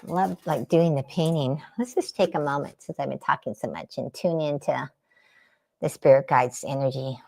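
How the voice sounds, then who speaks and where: A woman's voice: low-pitched, moderate pace, vocal fry, dark tone. A woman from the San Francisco Bay Area chatting casually with viewers on a livestream while she paints in her home art studio, meandering between painting and answering comments. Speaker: livestream host and spiritual artist. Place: home art studio under daylight lamps.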